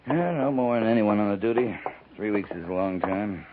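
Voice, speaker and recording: A weary frontier captain, played by a man, speaking in a fairly low voice. He is laconic and dry-humored. The old radio recording is tinny and slightly noisy. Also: expressive, normal speed, lightly acted. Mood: businesslike. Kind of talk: 1950s radio western acting.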